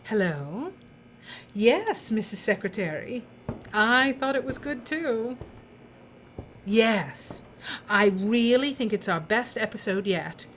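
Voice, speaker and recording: Woman acting a theatrical, callous showbiz villainess, swooping pitch. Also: expressive, slow, pauses often, lo-fi sound, background noise. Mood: flattering, chummy. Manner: theatrical, drawling, condescending endearments. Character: breezy, callous female showbiz boss, theatrical and condescending. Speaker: television executive in a drama. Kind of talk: audio drama acting.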